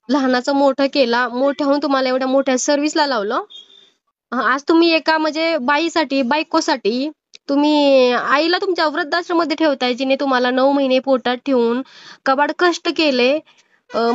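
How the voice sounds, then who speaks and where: A woman's voice: high voice, no echo. A woman with a Maharashtrian Marathi accent on a phone call, insistently admonishing the other person with moralising rhetorical questions. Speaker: old-age home worker taking a call. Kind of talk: phone counselling conversation, admonishing. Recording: muffled lo-fi phone call recording.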